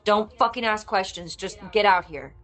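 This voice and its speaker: A woman's voice: mid-range voice, moderate pace, fairly bright tone, lightly acted. A woman playing a tough, blunt, foul-mouthed cop wounded by her past, in a film script read-through. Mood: curt, impatient. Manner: earnest, blunt, swearing.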